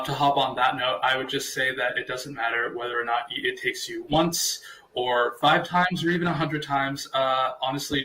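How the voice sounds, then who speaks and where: Bright-voiced young male student. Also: medium pitch, poor audio. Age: young adult. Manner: earnest, many fillers. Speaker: interviewed intern, engineering student. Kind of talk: casual video-call interview. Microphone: video call.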